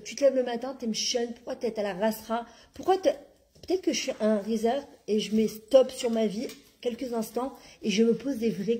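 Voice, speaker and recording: Emphatic woman giving a religious class. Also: fairly high pitch. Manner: animated, emphatic, exclaiming. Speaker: religious teacher.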